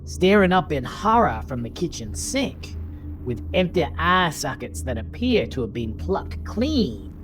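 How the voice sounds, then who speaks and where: A man narrating a scripted horror audio drama in a theatrical, deliberate manner. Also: on the high side, big pitch swings, background noise. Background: music.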